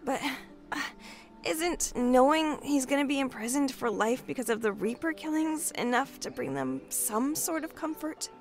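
A woman's voice: sits high, moderate pace, faint music behind. A woman playing a vulnerable, guarded survivor in an audio drama, speaking hesitantly. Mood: hesitant, subdued.

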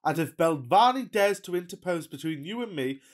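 Florid, amorous Victorian gentleman played by a woman, lowish pitch, very animated, radio farce. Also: lightly acted. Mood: haughty reasoning. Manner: florid, mock-solemn, deliberate declaiming. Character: pompous amorous wealthy bachelor gentleman of thirty-two. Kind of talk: theatrical radio-play farce acting.

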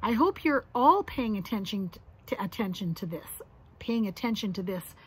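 A woman's voice: mid-range voice, animated, normal speed, breathy edge. A woman voicing a serious, protective father bear in a storybook, giving emphatic instruction. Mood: instructive.

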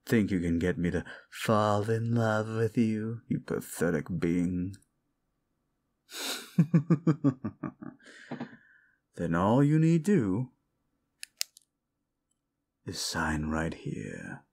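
A man's voice: lowish voice, lively intonation, slow pace, long pauses, darkish tone, heavily acted. A man playing a smug, sardonic fallen-angel demon in an intimate roleplay audio drama, talking with condescending, mocking exasperation. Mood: taunting, smug.

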